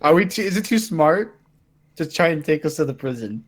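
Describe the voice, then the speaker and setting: A man's voice: on the high side, very animated, a little fast. A man playing a tabletop roleplay game, improvising casual banter in and out of character.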